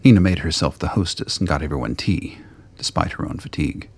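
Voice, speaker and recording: A man reading scripted narration for a radio play in a deep, gravelly voice. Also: brisk.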